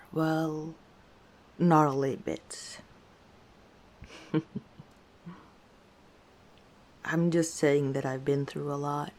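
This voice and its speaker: Woman playing a low, mellow, teasing orc woman. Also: gravelly, full character voice. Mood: wry, reflective. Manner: unhurried, playful teasing with frequent chuckles. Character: easygoing, teasing female orc tavern owner. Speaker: ASMR roleplay performer. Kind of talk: ASMR roleplay, one side of a conversation.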